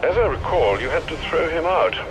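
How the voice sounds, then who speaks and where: An actor playing a loyal elderly English butler in a video game, with formal, courteous and dry manners. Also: male voice, fairly high pitch, animated, fairly quick, over music, natural voice, low-quality recording. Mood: concerned.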